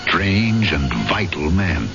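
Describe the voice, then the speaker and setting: An actor playing a courteous, earnest French colonial magistrate who narrates his tale formally in old-fashioned radio drama style. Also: low-pitched. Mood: grave, reflective. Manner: formal, measured, expressive. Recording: dull vintage radio recording with music.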